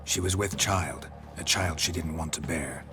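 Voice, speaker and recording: An actor playing a stoic, world-weary monster hunter in a video game, terse and matter-of-fact. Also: male voice, a bass voice, normal speed. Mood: grave. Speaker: game protagonist. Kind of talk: restrained video game voice acting.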